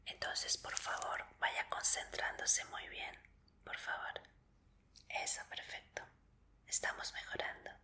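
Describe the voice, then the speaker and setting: A woman in an ASMR roleplay as a medical examiner, speaking in a breathy whisper with a gentle, polite, reassuring manner. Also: takes it slowly.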